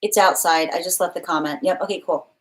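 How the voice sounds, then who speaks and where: A woman's voice: lowish voice, fairly even intonation, fast talker. A woman giving a live-streamed tarot reading, thinking aloud, reading viewer comments out and often restarting her phrases. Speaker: tarot reader.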